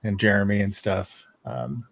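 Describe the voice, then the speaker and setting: A man's voice: on the low side, lively intonation, measured pace, vocal fry, a bit bright, no room sound. A man talking casually as a fan panelist, rambling and thinking aloud with some hesitation.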